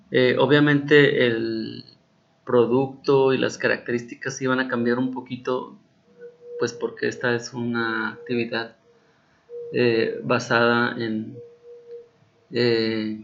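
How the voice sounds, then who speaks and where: Gravelly Mexican teacher explaining an assignment. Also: male voice, medium pitch, highs cut off. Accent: Mexican Spanish. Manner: patient, repeats key points with frequent check-ins. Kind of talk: recorded class explanation.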